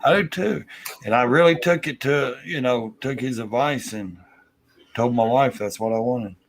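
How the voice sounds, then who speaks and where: A man's voice: medium pitch, moderate pace. A man, hosting a casual chat in a laid-back, rambling way. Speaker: host.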